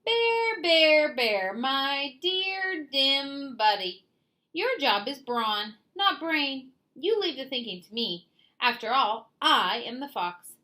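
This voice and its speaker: A woman's voice: high-pitched, sing-song, takes it slowly, sharp tone, voice pushed up, full character voice. A woman voicing a sly, smug male fox in a children's storybook, boastful, wheedling and condescending. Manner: boastful, theatrical, wheedling and dismissive.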